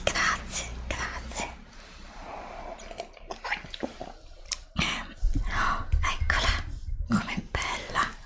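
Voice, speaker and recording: A woman playing an awestruck, polite young heroine in a whispered, breathy ASMR roleplay. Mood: excited, awestruck. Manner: breathy, hushed, wonder-struck exclamations.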